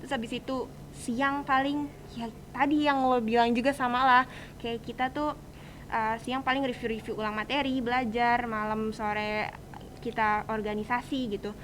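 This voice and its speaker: A woman's voice: on the high side, steady tone, normal speed, fairly bright tone, a little hiss or hum, poor audio. A young woman in her teens with a Jakarta accent hosts a casual student podcast, talking in a chatty, slangy way. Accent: Jakarta Indonesian. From Indonesia.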